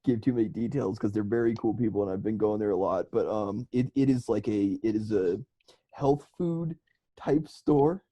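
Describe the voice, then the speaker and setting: A man's voice: fairly low pitch, moderate pace, mellow, highs cut off. A man co-hosting a casual comedy podcast, rambling along in sweary slang. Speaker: podcast host.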